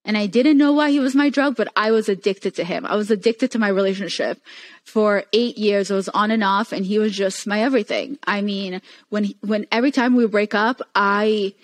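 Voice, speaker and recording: A woman's voice: medium pitch, normal speed, no echo. Candid woman guest, Hebrew native speaker. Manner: candid, earnest storytelling. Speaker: podcast guest. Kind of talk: casual podcast conversation.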